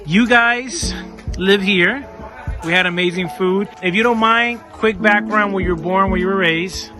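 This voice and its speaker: A man's voice: sits high, a little slow, over music. A man vlogging outdoors, asking families friendly questions in a casual, upbeat way. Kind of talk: casual interview chat.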